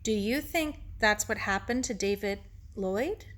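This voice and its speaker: A woman's voice: mid-range voice, expressive, measured pace, fairly bright tone. A woman voicing an earnest, troubled young girl in a storybook, asking innocent, searching questions. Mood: puzzled, hopeful. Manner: inquiring, innocent. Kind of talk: children's novel read aloud.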